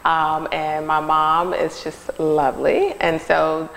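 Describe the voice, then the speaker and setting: Casting: woman guest from North Carolina, low gravelly voice, friendly storytelling in a hall with long echo. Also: flat delivery, slow, a bit bright. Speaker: guest, nurse and company founder. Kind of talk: casual interview talk.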